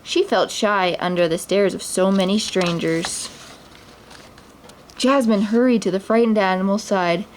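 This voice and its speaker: A mother reading a children's storybook aloud in a gentle voice, with small slips and restarts. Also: medium pitch, a little slow, slight background noise, low-quality recording.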